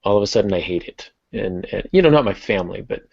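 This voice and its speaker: Deep gravelly male podcast host, roomy sound. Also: animated, fast talker, darkish tone. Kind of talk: casual podcast discussion about writing. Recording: dull lo-fi, roomy echo.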